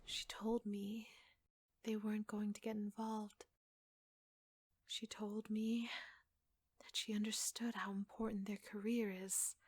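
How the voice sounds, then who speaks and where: A woman playing an obsessive, jealous secretary in an ASMR audio roleplay, soft-spoken and often slipping into breathy whispers. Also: medium pitch, flat delivery, slow pace, pauses often, no room sound. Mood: brooding, resentful whisper. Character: obsessive yandere secretary, devoted, jealous, coldly menacing woman.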